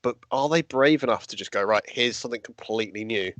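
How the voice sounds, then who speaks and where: Male co-host, wry and self-deprecating; even mid voice, dry recording. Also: mid-range voice, fast talker, no room sound. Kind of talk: casual live-stream chat.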